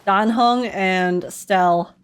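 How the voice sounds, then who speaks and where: A giggly, excitable woman giving casual reaction commentary as she plays a game. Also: mid-range voice, drawn-out words, clean tone.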